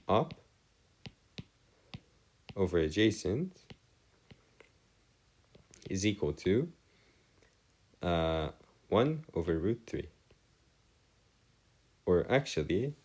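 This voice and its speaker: A man's voice: sits low, a little mellow. A man giving an informal step-by-step lesson as a tutor, with formal, wordy phrasing and hesitant self-corrections. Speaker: math tutor. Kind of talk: informal lecture, explaining step by step.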